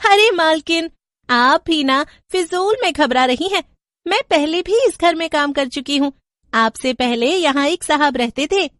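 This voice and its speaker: Woman acting a high-voiced, knowing housemaid. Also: measured pace, fairly bright tone, dry recording, studio quality. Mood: knowing, amused. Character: eerily knowing, servile housemaid. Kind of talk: horror storybook character voice.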